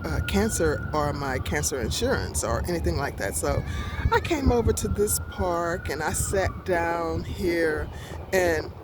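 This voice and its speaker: A woman in her sixties recounts her experience in a slow, unhurried way, stopping often to search for words and correct herself. She speaks outdoors in a park, and wind and street noise are clearly heard behind her voice. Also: on the low side, expressive. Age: 60s. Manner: halting and rambling, many fillers, corrects herself. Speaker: vlogger. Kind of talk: casual personal storytelling.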